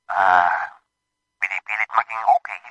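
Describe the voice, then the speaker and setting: An actor playing a homesick, evasive seafarer husband in naturalistic radio drama, halting and repeating himself. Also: male voice, sits low, steady tone, bright, forceful tone, dry recording, dull audio. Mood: evasive, downcast. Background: music bed.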